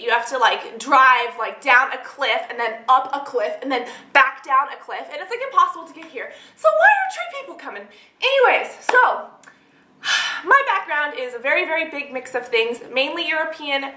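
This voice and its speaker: A young woman vlogger chatting casually to her viewers in an animated, rambling way. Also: high-pitched. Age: young adult. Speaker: beauty and lifestyle vlogger. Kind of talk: casual chat answering tag questions to camera. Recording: echoey room.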